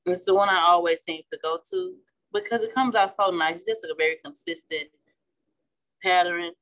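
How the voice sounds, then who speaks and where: A woman's voice: lowish voice, moderate pace, low-quality recording. Chatty Midwestern woman teaching a craft. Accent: Midwestern American. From St. Louis, Missouri. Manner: chatty, relaxed, restarts often while working. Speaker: florist and class instructor. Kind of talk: casual demonstration while painting. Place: indoor flower shop worktable.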